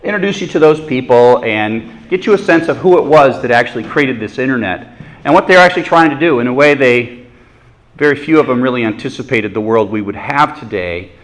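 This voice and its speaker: A man lecturing a university class in a relaxed, conversational way, with self-deprecating jokes. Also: mid-range voice, fairly quick, poor audio. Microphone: microphone adjusted during talk.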